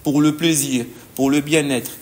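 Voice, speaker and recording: A man, a judo coach answering interview questions in a halting way, often pausing to search for words. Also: a little high, steady tone, darkish tone, a little hiss or hum. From DR Congo.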